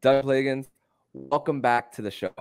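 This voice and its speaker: A man hosting a sports podcast, talking in a halting way with repeated and drawn-out words. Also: medium pitch, darkish tone, clear, resonant tone, no echo, clean studio sound, faint music behind. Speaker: podcast host.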